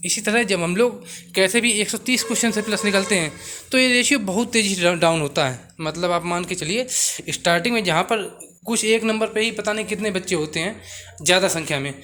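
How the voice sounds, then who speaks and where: High-voiced man explaining. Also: brisk, sharp tone, slight background noise. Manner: mixes English words into talk, repeats assurances. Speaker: exam coaching YouTuber. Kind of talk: informal explainer talk to viewers.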